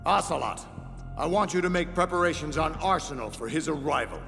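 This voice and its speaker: An actor playing a middle-aged villain leader in a video game drama, measured, authoritative and condescending. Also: male voice, fairly high pitch, lively intonation, vocal fry, fairly bright tone. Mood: commanding. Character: commanding, smooth-talking villain leader, middle-aged man. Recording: long reverb with music behind.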